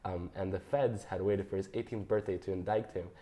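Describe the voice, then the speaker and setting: A young man reading his personal statement aloud in a measured, careful way. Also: deep voice, steady tone, mellow. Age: young adult. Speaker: guest.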